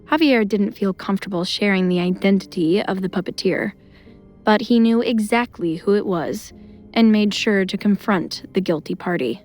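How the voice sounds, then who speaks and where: A woman's voice: mid-range voice, normal speed, a little mellow, faint music behind. A woman narrator reading a scripted audio drama in a storytelling style.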